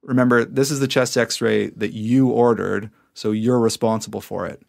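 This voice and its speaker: A man's voice: medium pitch, steady tone, moderate pace, vocal fry. A man hosting a medical podcast in a conversational interview style, explaining, recapping points and asking questions. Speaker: podcast host, emergency physician.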